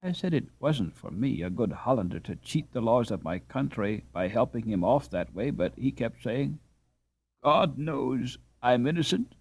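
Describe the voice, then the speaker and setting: A man voicing an injured, dazed Dutch husband in an audiobook, halting and groping for words as he strains to remember. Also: mid-range voice, expressive, breathy edge. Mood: troubled recollection. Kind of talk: audiobook character dialogue.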